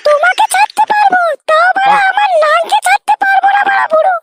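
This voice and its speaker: A man voicing a brazen, discontented village wife in a crude comedy cartoon, shrill and complaining, through an extreme pitch-raising effect. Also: fairly even intonation, fast talker. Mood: defiant, bitter. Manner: shrill, complaining, foul-mouthed. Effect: extreme pitch-raised cartoon voice. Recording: very loud music over the voice.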